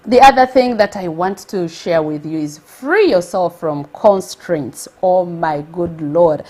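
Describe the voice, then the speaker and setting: Energetic Ugandan woman hosting a live stream. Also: medium pitch. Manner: energetic, exclamatory, repeats phrases for emphasis. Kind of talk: motivational live-stream talk.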